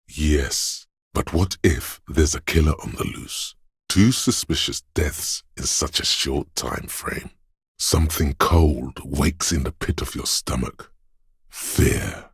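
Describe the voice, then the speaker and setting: Very deep, gravelly, menacing inner voice. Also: male voice, no echo, studio quality, full character voice. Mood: ominous dread. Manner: ominous, slow, deliberate delivery. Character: menacing, paranoid inner voice of primal fear and aggression. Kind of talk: dramatic second-person game narration.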